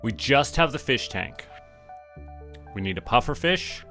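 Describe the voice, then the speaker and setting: Man, slightly unhurried, bright crisp-edged tone, casual game stream chatter, talking to self and viewers. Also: mid-range voice, expressive, soft background music. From Canada. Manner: talks to himself and viewers while playing. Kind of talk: casual game livestream chat.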